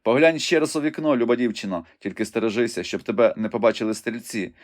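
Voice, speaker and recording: A man's voice: mid-range voice, fast talker, natural voice. A man voicing a wounded young knight, impatient and urgent, pressing questions from his bed. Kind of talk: audiobook reading.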